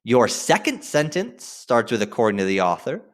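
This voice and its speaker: Male teacher for a recorded lesson: informal, directive, highly animated intonation, emphasis on key phrases, speaking straight to students. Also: moderate pace. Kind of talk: instructional screen-share lesson addressed to students.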